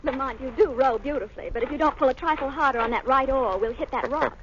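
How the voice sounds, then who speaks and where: A woman's voice: sits high, quick pace, natural voice. An actress playing a refined, inquisitive lady companion in a 1930s radio drama. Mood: light, genteel. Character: genteel, inquisitive lady companion of a crime fighter. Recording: dull, hissy 1930s radio recording.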